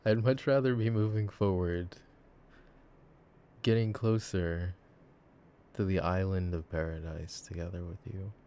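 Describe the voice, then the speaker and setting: A man acting a devoted, affectionate boyfriend in an intimate roleplay ASMR monologue, with a rambling, halting, tender way of talking. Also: low-pitched, steady tone, warm tone, smooth. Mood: tender, earnest. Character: devoted, silly, affectionate boyfriend, earnest and reassuring. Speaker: voice actor in an audio roleplay.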